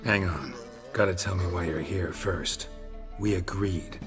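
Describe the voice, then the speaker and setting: An actor playing a gruff, stoic monster hunter in a video game, low and deadpan, speaking in clipped phrases. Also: male voice, a little slow, over music. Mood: dry, terse. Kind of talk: video game cutscene acting.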